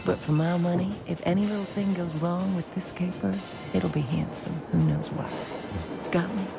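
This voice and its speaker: A woman's voice: fairly low pitch, fairly even intonation, moderate pace, creaky. An actress playing a sassy, tough gangster woman in a radio play, slangy and teasing. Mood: suspicious, tough. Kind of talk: radio drama acting. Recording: radio play with music underneath.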